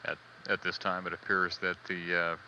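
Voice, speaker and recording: Low, flat-voiced man, mission control commentary. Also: lo-fi sound. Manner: measured, hesitant, matter-of-fact technical delivery. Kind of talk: live spaceflight status commentary, semi-scripted. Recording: band-limited 1980s broadcast audio, faint noise.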